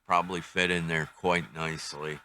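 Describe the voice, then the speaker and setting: Older man, deep pitch, bright forceful tone, casual reviewer, tentative, thinking aloud. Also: flat delivery, moderate pace, slight background noise. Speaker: radio reviewer. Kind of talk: casual hands-on product review.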